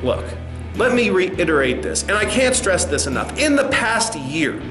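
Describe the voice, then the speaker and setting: Man playing a pompous high-pitched corporate boss. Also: very animated, normal speed. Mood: dismissive. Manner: condescending, pompous. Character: smug condescending male company executive, dismissive and evasive. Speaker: narrator and sketch performer. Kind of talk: comedy sketch speech. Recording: roomy sound with music underneath.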